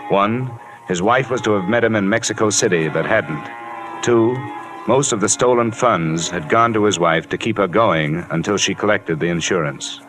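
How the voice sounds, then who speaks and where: Man acting a hard-boiled investigator, low voice, clipped and brisk, vintage radio drama, music behind. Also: fairly bright tone, natural voice, poor audio. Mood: matter-of-fact narration. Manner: clipped, brisk, matter-of-fact. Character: hard-boiled, no-nonsense male insurance investigator narrating his case. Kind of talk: 1940s-50s radio detective drama acting. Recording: old radio recording with music.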